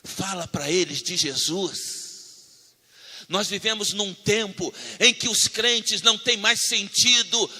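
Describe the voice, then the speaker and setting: A man preaching a sermon, telling a personal testimony, moving between loud fervent declaiming and hushed storytelling. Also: sits high, slow, slightly rough. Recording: long hall reverb.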